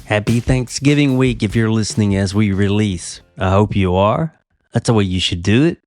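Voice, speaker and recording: A man's voice: fairly low pitch, moderate pace, soft background music. A man hosting a casual TV podcast, talking easily with a slightly stuffy voice from a cold. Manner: conversational, slightly self-interrupting. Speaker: podcast host. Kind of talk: casual chat.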